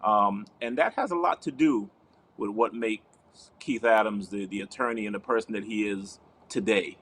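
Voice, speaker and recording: A man in his fifties, born in Trinidad, with a mixed Trinidadian, New York and Georgia accent, chatting warmly as a defense attorney guest who tells stories and restarts his sentences. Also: on the low side, normal speed. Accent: mix of Trinidadian, New York and Georgia. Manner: friendly, storytelling, repeats words as he starts. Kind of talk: casual interview chat.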